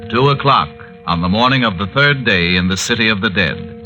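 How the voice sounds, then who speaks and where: A man's voice: on the low side, lively intonation, brisk, close to an everyday voice. A man with an American accent performing as a theatrical, ominous announcer-narrator of a 1940s radio adventure serial. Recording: dull vintage radio, music behind.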